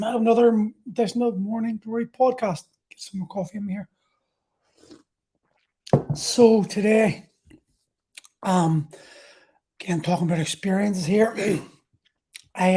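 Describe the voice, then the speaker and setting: A man in his forties with a soft, mellow tone hosts a casual solo podcast. He talks like a coach sharing his own experiences, candid and loosely rambling, with salty language. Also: high-pitched. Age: 40s. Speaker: podcast host and martial arts coach. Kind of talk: casual solo talk about personal experience.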